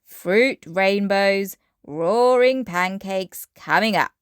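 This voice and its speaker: A woman's voice: mid-range voice, takes it slowly, clear, resonant tone, no echo, studio quality, close to an everyday voice. A woman voicing a jovial, indulgent daddy pig in a hearty, emphatic way for a children's storybook. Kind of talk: children's storybook read-aloud.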